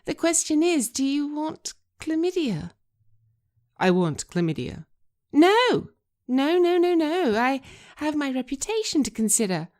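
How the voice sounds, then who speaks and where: A woman's voice: high voice, sing-song, a little slow, a little mellow, voice pushed up. A woman voicing a sophisticated, middle-aged female theatrical agent who talks in an arch, gushing, theatrical way in a screenplay reading. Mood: mock horrified.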